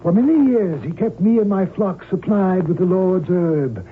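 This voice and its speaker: High-voiced pompous cult preacher, tinny. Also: male voice, lively intonation, moderate pace. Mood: pious, fervent. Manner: pompous, preachy, scripture-quoting. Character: fanatical cult preacher, male hijacker with pious airs. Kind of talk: old-time radio drama acting. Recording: tinny, band-limited old radio recording, hissy.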